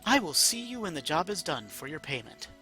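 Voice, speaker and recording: A man's voice: fairly high pitch, sing-song, brisk, sharp tone, soft background music. A man voicing a silky, flirtatious and formal female mayor in a read-aloud story. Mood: businesslike. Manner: genteel, formal, drawling.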